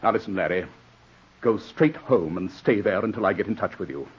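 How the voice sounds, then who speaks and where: A man's voice: low-pitched, a little fast, breathy edge. An actor in a 1940s radio drama playing a stern, ambitious barrister, a cold, self-serving man with a clipped, commanding delivery. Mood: curt, commanding. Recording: muffled vintage 1940s radio recording.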